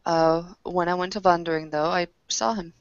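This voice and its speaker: A woman improvising in character in a tabletop roleplaying session, with hesitant, halting phrasing. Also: fairly low pitch, steady tone, clear, resonant tone, dry recording. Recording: dry, dull lo-fi audio.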